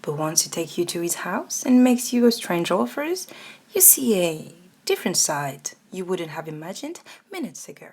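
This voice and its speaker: A woman's voice: fairly low pitch, big pitch swings, normal speed, darkish tone, breathy edge. A woman reading a scripted second-person ASMR story as its narrator. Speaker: narrator and voice actor.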